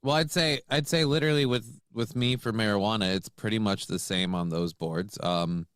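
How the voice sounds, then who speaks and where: Low-voiced frank man in his forties. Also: moderate pace, clean tone, no echo, studio quality. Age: 40s. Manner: frank, steady, repeats words as he restarts. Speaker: co-host. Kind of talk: casual chat.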